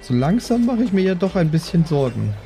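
A man voicing a prim, kindly but strict lady adventurer in an improvised roleplay audio drama, genteel and gently scolding. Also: high-pitched, sing-song, brisk, faint music behind. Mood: mildly worried.

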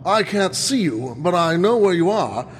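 An actor playing a sneering, defiant burglar villain in a parody of old-time radio drama. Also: male voice, high-pitched, sing-song, normal speed. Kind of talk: parody 1940s radio acting. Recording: long hall reverb, faint noise.

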